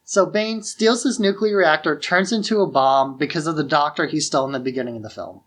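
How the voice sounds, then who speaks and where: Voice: mid-range voice, a little fast. A podcast host with a mid-range voice talks casually, walking the listener through a story. The delivery is conversational and explanatory, like recounting it to a friend. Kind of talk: casual film plot recap.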